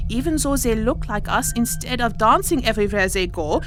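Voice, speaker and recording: A woman acts a bossy alien crew leader in a comic sci-fi audio play. She coaxes and exclaims in a high, swooping voice, with faint music behind her. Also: lively intonation, fairly quick, lightly acted. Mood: nagging, urging. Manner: coaxing, exclamatory.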